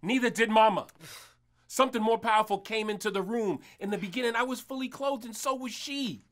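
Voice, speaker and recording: A man's voice: high-pitched, brisk, lightly acted. An actor playing a defensive, devout husband and father in an audio drama, earnest and solemn. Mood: defensive, pleading. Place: small recording studio. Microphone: studio mic on boom.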